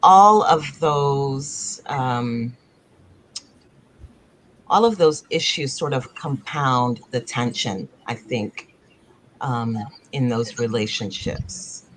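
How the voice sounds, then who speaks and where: A woman's voice: rumbling low, extremely slow, a little hiss or hum, poor audio. A woman playwright born in Africa and raised in the United States, speaking on a video-call panel in a thoughtful, searching way, often circling back to rephrase.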